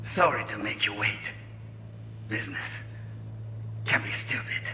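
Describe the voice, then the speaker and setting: A man's voice: on the low side, big pitch swings, a little slow, pushed and bright, echoey room, long reverb tail, slight background noise, full character voice. A man playing a cold, imperious corporate heir in a cyberpunk game, speaking in terse, formal commands. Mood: curt, tense. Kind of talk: dramatic video game acting.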